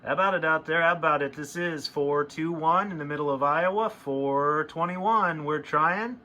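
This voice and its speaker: A man's voice: fairly high pitch, moderate pace. A man giving a casual hands-on demo and calling out as a CB radio hobbyist. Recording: clear background radio noise.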